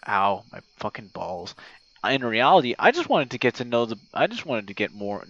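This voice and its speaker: A man voicing an old gamer buddy, lonely and self-effacing, in a halting, sweary, casual voice message. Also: on the low side, a bit bright, no room sound, lo-fi sound. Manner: rambling, halting, frequent swearing. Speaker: audio roleplay performer. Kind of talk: intimate ASMR roleplay voice message, rambling casual talk. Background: night crickets and insects.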